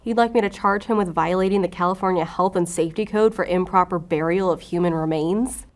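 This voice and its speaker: An actress playing a driven, short-tempered special agent in an audio drama, blunt and confrontational. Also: female voice, lowish voice, brisk, close to an everyday voice. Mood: frustrated. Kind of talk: audio fiction acting.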